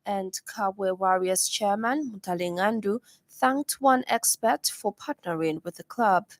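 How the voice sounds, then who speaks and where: A woman's voice: mid-range voice, a little slow, no echo. A woman with a Zambian accent reading a scripted radio news bulletin as presenter.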